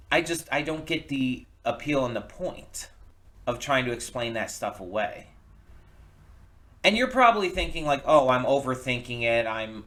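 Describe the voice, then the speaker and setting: A man giving casual opinion commentary as a reaction video host, speaking haltingly and often restarting his words. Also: medium pitch, fairly bright tone.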